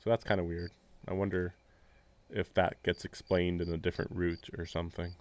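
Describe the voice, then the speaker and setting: A male live streamer with a somewhat low voice gives casual game commentary. He rambles and muses in a jokey way, moving between reading narration and his own remarks. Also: level tone, fairly quick.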